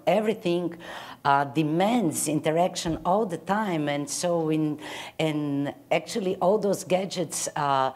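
A middle-aged Brazilian woman, a Portuguese speaker, lectures in English with a clear accent. Her voice sits low and she talks slowly, pausing on fillers as she builds each point. She speaks from a conference stage into a lapel mic. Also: darkish tone. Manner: measured and thoughtful, with frequent fillers. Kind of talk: academic lecture.

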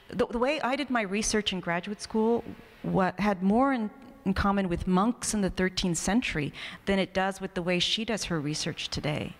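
Low-pitched woman speaking in a reverberant hall. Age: middle-aged. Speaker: professor, keynote speaker. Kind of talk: prepared academic keynote speech. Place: indoor university hall at a lectern. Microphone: microphone on a stand, close in front. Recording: reverberant hall through microphone.

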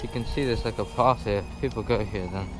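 A man's voice: on the low side, brisk. A teenage man chatting casually into an action camera while exploring a windy waterfront, with hesitant asides and little laughs. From Australia. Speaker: vlogger. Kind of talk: casual vlog narration. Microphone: action camera on hand or head. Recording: wind noise, music underneath.

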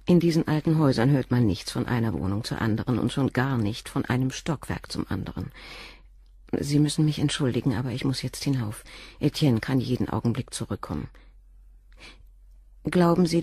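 Husky, guarded wife. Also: female voice, rumbling low, lively intonation, a little fast, dark tone, no echo, studio quality, heavily acted. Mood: guarded, insistent. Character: guarded, devoted wife of a hospital professor, protective and watchful. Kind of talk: German crime radio play acting.

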